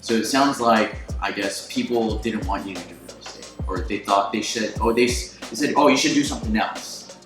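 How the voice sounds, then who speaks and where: Excitable young man hosting, echoey room. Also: lowish voice, brisk. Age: 20s. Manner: excitable, laughs often, lots of fillers. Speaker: host. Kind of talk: casual sit-down interview. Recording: echoey room with music behind.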